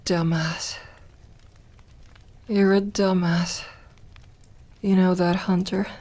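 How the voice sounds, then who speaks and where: A woman's voice: on the low side, level tone, darkish tone. A woman playing a lonely, lovestruck vampire girl in an intimate ASMR audio roleplay, tender and hesitant. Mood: tender, devoted. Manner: hesitant, halting, intimate, sometimes dropping to a whisper.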